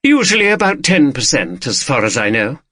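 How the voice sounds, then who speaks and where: A man's voice: medium pitch, sing-song, moderate pace, pushed and bright, no room sound, studio quality, voice pushed up. A man voicing a wealthy, haughty woman collector in an audiobook, gracious, discreet and imperious. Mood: discreet, assured.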